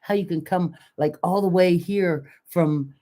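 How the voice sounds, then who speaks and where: This older woman co-hosts a relaxed live chat. Her voice sits slightly low, and she tells stories with animated energy and frequent laughter. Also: unhurried. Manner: animated storyteller, laughs often. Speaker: livestream co-host. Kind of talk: casual chat.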